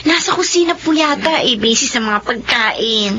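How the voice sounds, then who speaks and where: A woman's voice: on the high side, expressive, moderate pace, breathy edge, full character voice. A woman acting as a bubbly, polite young daughter in a radio drama. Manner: bright, polite, giggly. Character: bubbly young adult daughter celebrating graduation. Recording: muffled radio audio with music.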